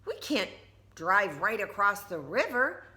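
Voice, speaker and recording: A woman's voice: medium pitch, very animated, measured pace, sharp tone. A woman voicing a practical, doubtful mother from a storybook, explaining things emphatically. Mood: discouraging.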